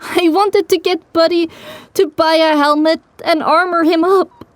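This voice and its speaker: A woman playing a determined young female pony who tells her story with grief, in an emotional, halting, drawn-out delivery for an audio drama. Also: very high-pitched, steady tone, no room sound. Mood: grief-stricken. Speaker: narrator. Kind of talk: first-person dramatic audiobook narration.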